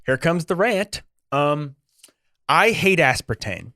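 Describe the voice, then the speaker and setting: A man chats casually as a podcast co-host, in a somewhat high and very lively voice. He jokes and rants with animated energy, and the sound is dry and close. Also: slow pace, no echo. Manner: animated, joking, ranting. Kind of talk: casual chat.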